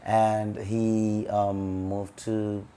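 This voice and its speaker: A man from East Africa speaks with a fairly low voice. He talks in a relaxed, easygoing way, laughs often, and restarts his sentences now and then. He is a guest on a podcast, miked with a lapel mic. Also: extremely slow, slight background noise. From East Africa, Kenya and Uganda. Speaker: guest, musician. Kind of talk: casual interview chat. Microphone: lapel mic on collar.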